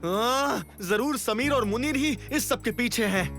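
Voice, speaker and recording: A man voicing a vain, anxious, easily exasperated game inventor in an animated comedy dub. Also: very high-pitched, a little slow, soft background music, exaggerated voice. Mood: indignant, pleading.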